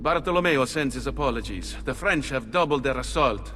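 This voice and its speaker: Shrewd conspirator over music. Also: male voice, mid-range voice, lively intonation, fairly quick, sharp tone. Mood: measured, informative. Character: shrewd male Italian conspirator, informant to assassins. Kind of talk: dramatic video game acting. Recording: clear music bed behind.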